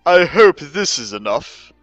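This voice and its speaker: A man's voice: a little high, very animated, unhurried, fairly bright tone, faint music behind, voice pushed up, rough recording. A man voicing a polite young servant girl who speaks deferentially to her mistress in a dramatic story reading. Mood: apologetic. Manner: deferential, exclaiming.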